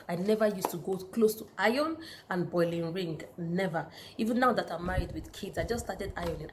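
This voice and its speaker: A woman's voice: lowish voice, a little mellow, slight background noise. A Nigerian woman in her thirties telling personal stories in a casual sit-down vlog, chatty and full of fillers. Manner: chatty, storytelling with frequent fillers. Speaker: vlogger.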